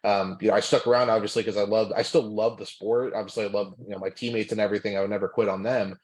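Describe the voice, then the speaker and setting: A man's voice: on the low side, quick pace, low-quality recording. A young man from California, a former college football lineman, chatting candidly as a guest from his home, with lots of fillers and restarts. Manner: relaxed and candid, many fillers and restarts, laughs often. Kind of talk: casual interview chat. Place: home room.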